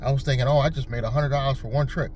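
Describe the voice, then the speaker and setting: A man's voice: mid-range voice, fairly even intonation, very fast, a little mellow, slight background noise. A man from Connecticut who drives for a rideshare service, talking to his viewers in a loose, rambling vlog style with frequent fillers and restarts. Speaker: rideshare driver and channel host. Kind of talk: casual vlog talk.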